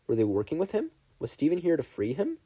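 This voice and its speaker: A man narrating fan fiction from a script in an expressive storytelling style. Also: fairly high pitch, fast talker, husky. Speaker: podfic narrator.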